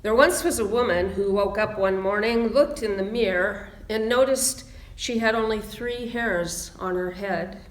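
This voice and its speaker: A woman minister reading aloud to lead a church service, with deliberate, measured phrasing. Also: medium pitch. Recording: roomy, echoing sound.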